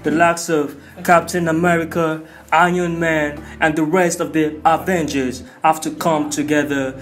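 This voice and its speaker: A man's voice: fairly high pitch, level tone, unhurried, a bit bright. A man hosting a video, giving hyped, slangy commentary on a movie trailer. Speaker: video host. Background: music.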